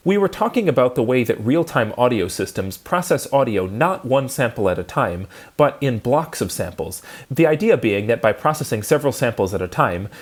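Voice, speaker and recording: A man giving a prepared technical lecture, explaining clearly and methodically step by step. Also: medium pitch.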